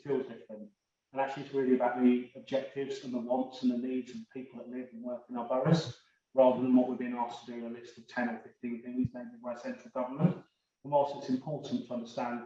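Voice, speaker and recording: A man's voice: medium pitch, warm tone. Guy on a panel talking earnestly off the cuff, really echoey room, kinda muffled. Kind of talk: earnest unscripted panel remarks. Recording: very echoey, distant, dull room sound.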